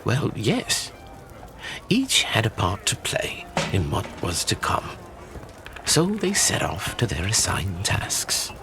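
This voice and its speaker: A man's voice: fairly low pitch, wildly swooping pitch, slow pace, over music, full character voice. A man playing a patient storyteller, narrating a fantasy audio drama to a listener.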